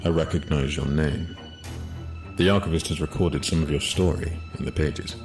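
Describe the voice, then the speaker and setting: A man playing a solemn archivist from another realm who speaks through a book, measured, grave and formal. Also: extremely low pitch, steady tone, over music.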